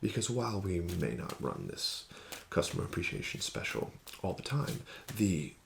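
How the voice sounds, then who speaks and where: A man doing an ASMR roleplay as a casino card dealer, talking slowly and softly with smug, teasing patter that slips into whispers. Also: low voice, very animated, creaky. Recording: audible background noise.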